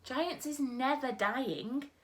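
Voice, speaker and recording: A woman reading aloud, voicing a huge kindly old male giant who mangles his grammar and booms his exclamations. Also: slow pace. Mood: earnest.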